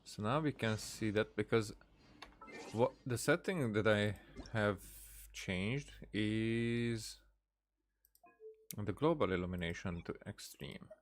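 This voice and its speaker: A man's voice: lowish voice, slow, a little hiss or hum. A man streaming a game with casual live commentary, musing and hesitant as he thinks aloud.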